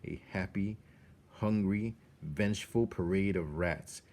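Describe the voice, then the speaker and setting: Low-voiced man reading a story, slowly and dramatically. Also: darkish tone, slight background noise. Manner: deliberate, suspenseful, with dramatic pauses. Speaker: storybook reader. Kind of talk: dramatic read-aloud narration of a children's novel.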